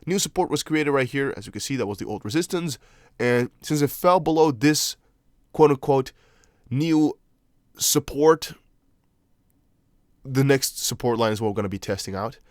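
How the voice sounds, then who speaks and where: A young man whose first language is Dutch, speaking English with a Dutch accent. He hosts a casual crypto livestream, chatting loosely, reading chat aloud and thinking out loud with lots of fillers. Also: medium pitch, expressive, normal speed, pauses often, no echo, studio quality. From Netherlands. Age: young adult. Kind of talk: casual livestream chart analysis.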